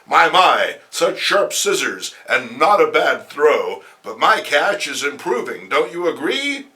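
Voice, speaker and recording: A man's voice: fairly high pitch, very animated, slow, pushed and bright, voice pushed down, exaggerated voice. A man voicing a cocky, wisecracking young comic-book superhero with a breezy, mocking manner.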